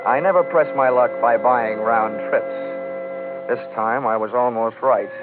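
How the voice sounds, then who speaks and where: An actor playing a wry, tough, cocky American insurance investigator in a vintage radio drama, with clipped, sardonic delivery. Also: male voice, mid-range voice, unhurried, over music, close to an everyday voice. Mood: dry, wry. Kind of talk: 1940s-50s radio detective acting, first-person narration. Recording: muffled vintage radio recording.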